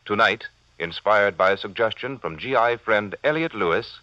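A man's voice: lowish voice, animated, moderate pace, sharp tone, no echo. A man with an American accent making a formal 1940s-style radio announcement. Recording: dull vintage radio recording.

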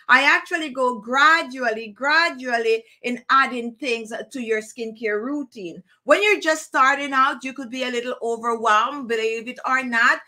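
A middle-aged woman from Jamaica with a Jamaican accent, chatting to camera in a relaxed, digressive skincare tutorial, laughing now and then. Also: high voice. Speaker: skincare vlogger. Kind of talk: casual tutorial talk to camera. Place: indoor room with plants and screen.

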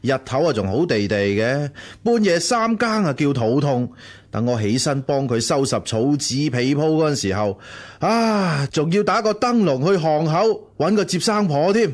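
Animated, grumbling, penniless Cantonese hanger-on. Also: male voice, fairly high pitch, moderate pace. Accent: Hong Kong Cantonese. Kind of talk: Cantonese radio drama acting.

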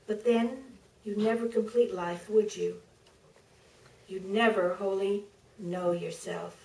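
A middle-aged woman author giving a measured, deliberate staged reading from a podium, with pauses for effect. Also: mid-range voice, steady tone, creaky, mellow, distant mic. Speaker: author reading from her book. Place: theater stage, filmed from the audience. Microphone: podium microphone heard through distant camera. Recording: roomy theater, picked up from afar.

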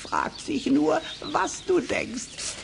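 An actor playing a wise, mocking talking raven who nags in drawn-out croaks, in a children's radio play. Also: high-pitched, huge pitch swings, background noise, heavily acted. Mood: mocking, gleeful. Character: talking male raven, wise, scolding, mocking old friend.

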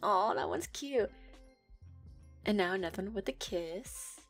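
A woman's voice: mid-range voice, animated, unhurried, gravelly, soft background music. A young woman voicing a playful, affectionate neko girlfriend in an audio roleplay, giggly and teasing as she talks.

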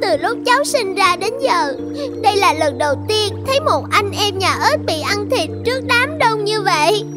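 A woman's voice: very high-pitched, expressive, normal speed. A woman voicing a small, timid young female frog in a childlike, plaintive cartoon voice. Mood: frightened, grieving. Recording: prominent children's music behind.

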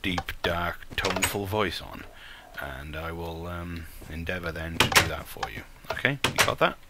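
A man's voice: low-pitched, normal speed, heavy background noise. A man with a light Welsh accent hosting a gaming channel, talking casually and rambling over his gameplay. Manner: rambling and self-mocking, with playful put-on crooning.

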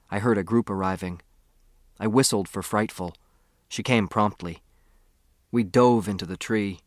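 An American man reading a first-person novel as an audiobook narrator, with expressive, boyish storytelling. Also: lowish voice, no echo, studio quality.

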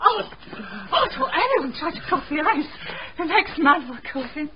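A woman's voice: high-pitched, very animated, moderate pace. An actress playing an agitated, urgent woman in a radio mystery. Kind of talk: dramatic radio acting. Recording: dull, faintly noisy radio recording.

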